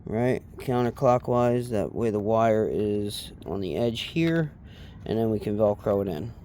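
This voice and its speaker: A man giving a casual, unscripted hands-on tutorial, speaking haltingly and trailing off as he works with his hands. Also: fairly low pitch, lively intonation, warm tone, slight background noise. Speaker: DIY installation demonstrator.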